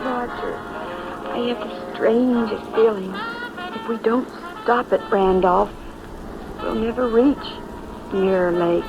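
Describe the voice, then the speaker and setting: An actress playing a jumpy, anxious young newlywed wife, fretful and pleading, in mid-century radio drama style. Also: female voice, on the high side, slow, pauses often. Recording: dull vintage radio recording, music behind.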